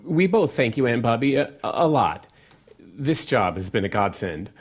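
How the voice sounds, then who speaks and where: A man's voice: medium pitch, sing-song, unhurried, husky. A man playing a hapless, nervously upbeat father in an audio drama, hesitant and placating. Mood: nervous, deferential. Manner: hesitant, stammering, placating, salesman-like cheer.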